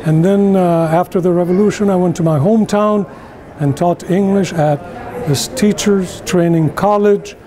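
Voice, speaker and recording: Older Iranian-accented man, mellow tone. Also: high voice, steady tone, normal speed. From Iran. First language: Persian. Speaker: interviewee. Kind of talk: personal recollection to camera. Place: indoor conference venue.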